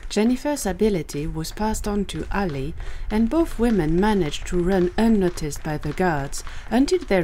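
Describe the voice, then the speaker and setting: Lowish-voiced woman narrating a story. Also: dark tone, a little hiss or hum. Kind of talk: scripted audio drama narration.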